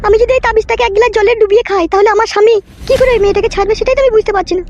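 A woman's voice: very high voice, fairly even intonation, rapid-fire, darkish tone, a little hiss or hum. A woman voicing an emphatic, plain-spoken wronged young wife in a comic cartoon, on a dull recording. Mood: puzzled. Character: shrill wronged young married village woman, wary then yielding. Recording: dull lo-fi audio with music.

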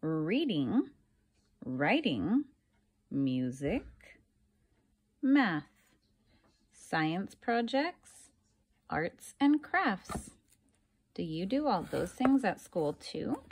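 A woman reading a children's picture book aloud like a teacher, deliberately, pausing between phrases and putting questions to her young listeners. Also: on the low side, very animated, a little mellow, husky.

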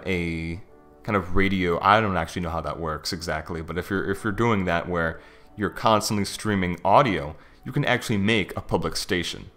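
A man giving a casual, conversational software review, informal and thinking aloud as he talks through what he shows. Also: low-pitched. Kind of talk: casual screen-recorded software walkthrough. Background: background music.

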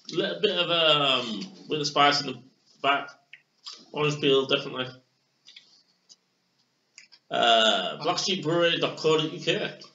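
Bright-voiced young man reviewing beer. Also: a little high, slight background noise. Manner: hesitant, many fillers and restarts. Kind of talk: casual beer tasting review. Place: small bedroom with posters, indoors. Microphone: webcam on desk. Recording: roomy, dull lo-fi webcam audio.

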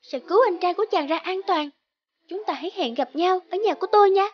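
High-voiced young peasant girl. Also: fast, dull audio, heavy background noise. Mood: cautioning. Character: kind, brave peasant girl of a fairy tale. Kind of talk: cartoon fairy-tale acting.

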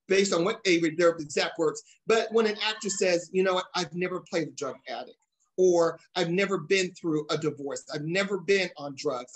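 A man's voice: high voice, poor audio. A middle-aged American man hosting a talk show over video call in an emphatic, conversational way, often repeating himself. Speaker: talk show host, playwright and director. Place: indoor room at home, on a video call.

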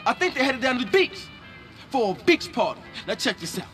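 A man's voice: very high-pitched, big pitch swings, brisk, a bit bright, faint music behind, heavily acted. A man playing a boastful, deluded young American wannabe gangsta rapper in a video game, slangy and prone to clumsy rhymes. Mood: hyped, showing off. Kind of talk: video game cutscene acting.

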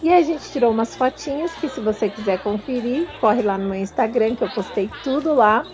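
A woman's voice: a little high, animated, a little fast, a crowd in the background. A woman with a Brazilian Portuguese accent, narrating a vlog about a trade fair and talking straight to her viewers in a chatty way. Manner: chatty, addresses viewers directly. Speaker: vlogger and confectioner.

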